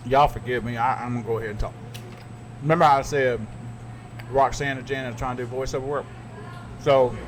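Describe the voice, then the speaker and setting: A man's voice: mid-range voice, poor audio. A man hosting a podcast, joking through a casual chat over food. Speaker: podcast host.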